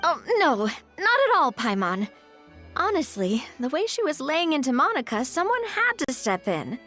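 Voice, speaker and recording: Friendly young heroine, high-pitched, lively intonation, easygoing, expressive, reassuring, video game dialogue, faint music. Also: female voice, moderate pace. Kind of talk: English video game voice acting.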